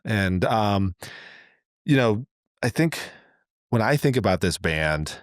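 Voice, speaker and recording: A man's voice: fairly low pitch, animated, slow pace, no echo, clean studio sound. A middle-aged man co-hosting a podcast, talking casually in a rambling, enthusiastic way as he works out his thoughts. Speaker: podcast co-host. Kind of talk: casual conversational podcast talk.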